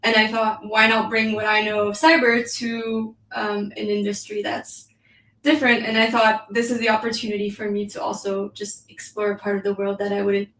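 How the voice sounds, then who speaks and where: A woman's voice: mid-range voice, level tone, normal speed, fairly bright tone, echoey room, distant mic, low-quality recording. A woman in her thirties from New York City with a French accent, chatting as a guest with lots of "um" and "like.". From New York City, United States. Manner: chatty, with frequent "um" and "like" fillers. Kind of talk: casual interview.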